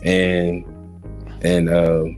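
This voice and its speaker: A man's voice: low voice, completely flat delivery, extremely slow, darkish tone, soft background music. An American man in his forties from Kansas City, Missouri, giving personal testimony as a podcast guest. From Kansas City, Missouri, United States. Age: 40s.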